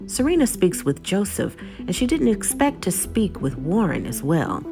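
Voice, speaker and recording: A woman's voice: mid-range voice, big pitch swings, moderate pace, over music. A woman narrating a scripted episode recap as the host of a video channel.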